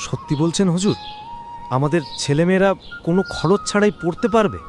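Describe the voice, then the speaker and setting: Casting: male actor for eager, amazed cartoon villager, high-pitched for a man, faint music. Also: fairly quick, lightly acted. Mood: amazed, hopeful. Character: astonished, hopeful poor villager, eager and respectful. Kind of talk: acted cartoon dialogue.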